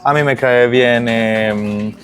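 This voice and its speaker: A man in his thirties from Colombia with a strong Colombian accent, chatting casually as an interview guest, with many pauses and restarts. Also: medium pitch, fairly even intonation. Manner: hesitant, many fillers and restarts. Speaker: guest, digital transformation consultant.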